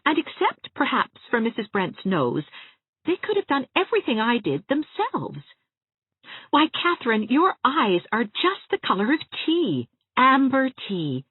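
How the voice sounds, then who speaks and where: A bubbly, eager young woman, as a woman reader puts her on, her voice climbing high and swinging all over as she coaxes and enthuses. The sound is thin and narrow, like a phone line, and dry. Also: measured pace, slightly rough, no room sound, full character voice. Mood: enthusiastic. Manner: bright, lively, persuasive. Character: cheerful, eager young woman, warm and coaxing.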